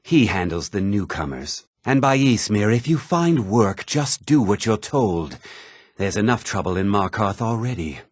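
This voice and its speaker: An actor playing a haughty, put-upon fantasy townsman in a video game, husky, theatrical and often sarcastic. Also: on the low side, big pitch swings, unhurried, no room sound, heavily acted. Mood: scornful, irritable. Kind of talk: video game character dialogue.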